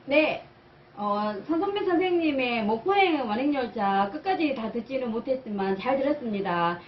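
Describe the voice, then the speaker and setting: A woman's voice: on the high side, lo-fi sound, noisy background. A woman channel host giving an explanatory personal talk in an interview-style format.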